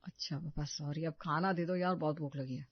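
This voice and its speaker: A woman's voice: low-pitched, steady tone, fairly quick, husky, no echo, highs cut off, voice pushed down. A woman voicing a casual husband in a storybook tale, offhand and placating as he defends himself. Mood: defensive. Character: casual, defensive husband admiring the neighbour's glamorous wife.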